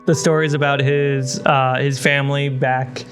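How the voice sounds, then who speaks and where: Game master narrating over clear background music. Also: medium pitch, level tone, measured pace. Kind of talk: narrating a tabletop role-playing game. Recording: loud music bed behind voice. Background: background music score.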